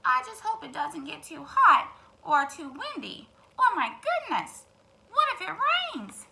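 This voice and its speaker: Woman acting a high-pitched, fretful hen. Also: big pitch swings, unhurried, creaky, sharp tone, exaggerated voice, rough recording. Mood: worried, fretting. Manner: fretful, exclaiming, dramatic. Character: anxious, excitable female hen, a chronic worrier.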